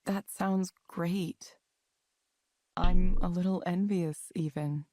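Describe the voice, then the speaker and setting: An actress playing a quiet, reserved woman in a video game, thoughtful and hesitant. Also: fairly low pitch, steady tone, slow, no echo. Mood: subdued, hesitant.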